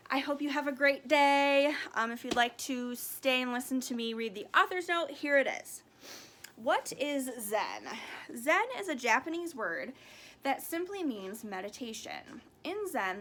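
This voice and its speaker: Woman storybook reader, highish pitch, very varied intonation, careful phrasing, faint background noise. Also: bright, forceful tone. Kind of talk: expressive read-aloud narration.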